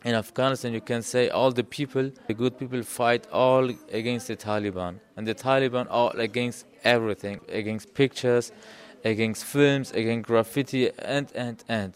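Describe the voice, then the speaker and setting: A man speaking earnestly in an interview, often pausing with "you can say". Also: mid-range voice, fairly even intonation.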